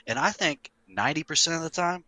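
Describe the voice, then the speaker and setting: A middle-aged man hosting a casual podcast, talking in an emphatic, animated way with some swearing. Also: a little high, fairly quick, no room sound. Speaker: podcast host, longtime game master.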